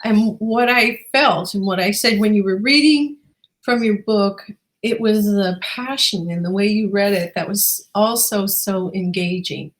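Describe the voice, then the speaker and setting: A woman's voice: mid-range voice, unhurried. A woman hosting a casual interview in an encouraging, affirming manner. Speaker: interviewer and host.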